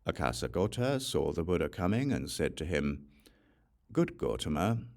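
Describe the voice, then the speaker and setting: A man reading a religious text as scripted audiobook narration in a measured, deliberate way. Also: low voice, very animated, creaky. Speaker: audiobook reader.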